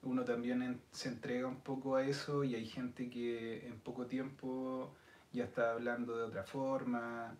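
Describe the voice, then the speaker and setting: A man in his thirties with a Chilean accent, a podcast guest explaining things in a thoughtful, measured way. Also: medium pitch, little pitch movement, darkish tone. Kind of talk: casual chat. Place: indoor studio set with city backdrop. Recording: roomy sound with faint noise.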